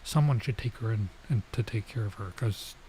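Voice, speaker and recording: A man's voice: on the low side, brisk, dark tone, slight background noise, poor audio. Laid-back young male game streamer. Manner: chatty, laid-back, lots of "like" and "bro". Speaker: streamer playing a visual novel. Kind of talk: casual let's-play commentary.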